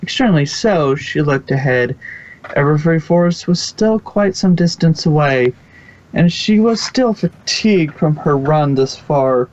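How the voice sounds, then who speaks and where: A man's voice: fairly high pitch, expressive, unhurried, a little mellow. A man narrating fan fiction from a script in an informal way, with occasional stumbles. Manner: informal, stumbles and self-corrects. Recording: dull lo-fi recording.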